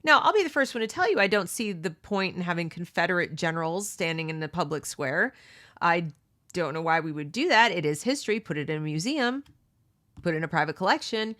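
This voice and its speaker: A middle-aged woman hosting a read-along book discussion, giving opinionated commentary and asking rhetorical questions. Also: a bit bright. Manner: mocks others with high exaggerated voices.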